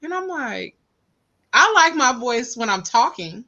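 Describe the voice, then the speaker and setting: A woman with a Southern US accent chatting casually as a podcast host, expressive and self-deprecating. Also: fairly high pitch, measured pace, lo-fi sound. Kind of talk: casual chat.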